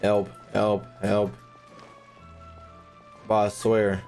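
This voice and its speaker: A man's voice: fairly low pitch, completely flat delivery, drawn-out words, gravelly, darkish tone, faint music behind. A man doing casual, slangy, joking live commentary as he streams a game.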